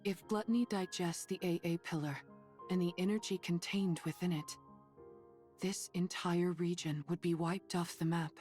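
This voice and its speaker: A woman playing a stern, protective female android soldier in an anime game dub, speaking in a measured, grave, firm way. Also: fairly low pitch, steady tone, a little mellow, faint music behind, natural voice. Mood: grave, explanatory.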